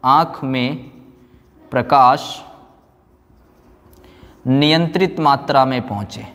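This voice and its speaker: A man's voice: medium pitch, steady tone, slow pace, fairly bright tone. A man giving a classroom lecture, repeating phrases for note-taking and answering his own questions. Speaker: physics teacher. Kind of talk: classroom lecture, explaining and dictating notes. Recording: echoey room with long reverb.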